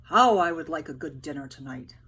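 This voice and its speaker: A woman voicing hungry, weary soldiers in a storybook read-aloud, speaking out loudly and politely. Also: low voice, expressive, brisk, slightly rough, exaggerated voice. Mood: weary, wishful.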